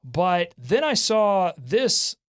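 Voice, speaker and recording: A man hosting a casual sports talk show, bantering with fans and co-hosts in an excitable, animated way. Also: sits high, extremely slow. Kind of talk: casual sports fan banter with comments and highlights.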